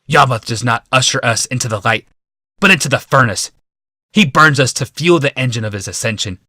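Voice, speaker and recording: A man voicing a young, bitter rebel leader haranguing a mob in a shouted, oratorical audiobook performance. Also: medium pitch, no room sound, clean studio sound, pitched above the performer's own voice. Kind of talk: audiobook character voice.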